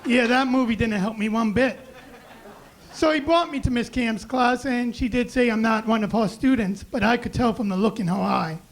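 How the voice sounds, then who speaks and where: High raspy-voiced young man doing stand-up. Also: normal speed. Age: young adult. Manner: self-deprecating deadpan delivery. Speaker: stand-up comedian. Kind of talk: stand-up comedy set. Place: comedy club stage. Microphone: handheld stage mic.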